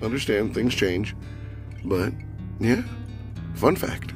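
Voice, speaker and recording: A man's voice: on the low side, expressive, slow pace. Man losing his voice, vlogging outdoors. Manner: chatty, wry, self-narrating. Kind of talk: casual travel vlog. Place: outdoors, windy town streets. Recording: music under the voice.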